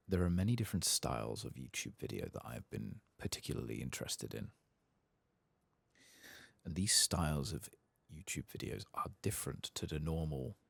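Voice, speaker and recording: A soft-spoken man giving relaxed, musing ASMR commentary on a game playthrough, close to the mic and at times near a whisper. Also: vocal fry, no echo. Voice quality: soft, low-volume voice, sometimes dropping to a whisper. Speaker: gaming ASMR channel host. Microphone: close, dry microphone.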